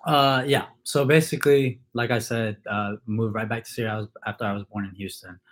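A young man from Kuwait, a college football player, answering interview questions in a relaxed way with many fillers. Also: on the low side, a little fast. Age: young adult.

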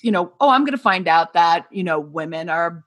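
An enthusiastic woman hosting a casual interview show. Her voice sits on the low side, and she teases her guest in an eager, chatty way. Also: animated, normal speed, fairly bright tone, highs cut off. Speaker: podcast host.

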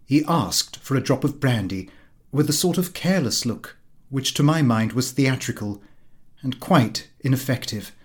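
A man narrating a comic diary in the character of a prim, put-upon, pompous middle-class gentleman. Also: mid-range voice, lively intonation, normal speed. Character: fussy, easily affronted middle-class Victorian gentleman diarist. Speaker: audiobook reader.

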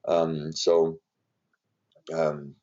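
A man's voice: very deep voice, level tone, mellow. A young man from Brazil who speaks English with a Brazilian accent, talking in a casual interview and filling his speech with ums, you-knows and restarts. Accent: Brazilian Portuguese. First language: Portuguese. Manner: halting, many fillers and restarts. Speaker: professional basketball player. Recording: dull lo-fi recording.

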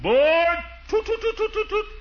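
A man's voice: very high-pitched, animated, normal speed. A man playing a jovial, blustery middle-aged uncle in a 1940s radio comedy, with hearty, chuckling delivery. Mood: jovial, eager. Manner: chuckling, hearty, exaggerated swoops. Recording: noisy, muffled 1940s radio recording.

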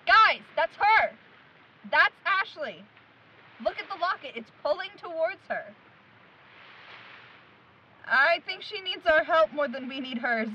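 Actress as a piercingly high, fiery young woman. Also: animated, slow pace, long pauses, dull audio, exaggerated voice. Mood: urgent. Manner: urgent, foul-mouthed. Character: fiery, desperate young woman rushing to rescue friends. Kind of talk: audio drama acting.

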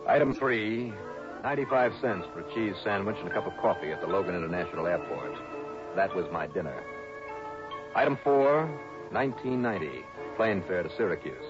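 An actor playing a hard-boiled, suspicious insurance investigator in a 1960s radio drama, with a clipped, sardonic delivery. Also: male voice, low voice, lively intonation, a little fast. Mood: wry, matter-of-fact. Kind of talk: 1960s radio detective acting. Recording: muffled old radio broadcast, music behind.

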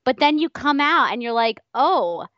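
A woman co-hosting a podcast in a casual, conversational way, quick to giggle. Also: sits high, animated, a bit bright, no echo, highs cut off. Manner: giggly, conversational, frequent laughter. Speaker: podcast co-host. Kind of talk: casual chat.

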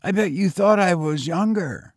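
Male reader as a husky, frail boy tramp; raised pitch, louder, dry. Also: normal speed, no room sound. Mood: pleading. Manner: eager, talkative, fatalistic. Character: frail teenage boy tramp, coughing and hoarse.

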